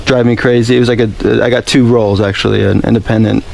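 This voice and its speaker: Male interview guest, gravelly fry, modest and casual, faint noise behind. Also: on the low side, steady tone, fast talker, a little mellow. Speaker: actor being interviewed.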